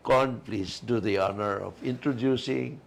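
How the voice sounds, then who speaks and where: A man co-hosting a talk show, speaking haltingly with many pauses and filler sounds. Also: medium pitch, gravelly. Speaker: co-host, attorney.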